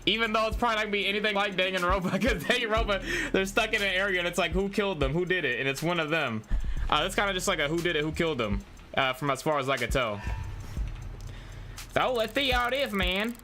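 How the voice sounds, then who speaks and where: A man's voice: high-pitched, expressive, quick pace, pushed and bright, soft background music. A young man chatting casually on a game livestream, speaking in slang and addressing his viewers like buddies. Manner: slangy, addresses chat as bro and y'all. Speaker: live streamer playing games. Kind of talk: casual livestream chat while playing a game.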